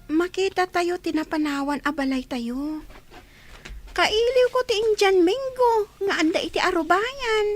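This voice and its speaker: A woman's voice: extremely high pitch, unhurried. A woman voicing a timid youngest child, a hesitant little girl, in an Ilocano radio drama. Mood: plaintive.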